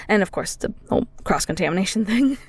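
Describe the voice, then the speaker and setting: A woman acting in an intimate audio roleplay as a bashful, kindhearted, bungling young ghoul cook, flustered and stammering, with a breathy voice that often drops toward a whisper. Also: medium pitch, animated, fairly quick, no room sound. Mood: sheepish, nervous. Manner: flustered, stammering, giggly, rambling.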